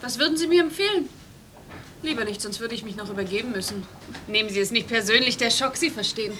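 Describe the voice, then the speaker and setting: An actress playing a shaken but polite crash victim in a German radio play. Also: female voice, a little high, quick pace, sharp tone. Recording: faintly noisy old cassette radio recording.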